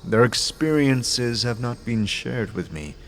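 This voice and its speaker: An actor playing a sneering, secretive undead skull with stern, formal speech in a fantasy audio drama. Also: male voice, mid-range voice, animated, takes it slowly, a little hiss or hum. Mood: cold, defiant.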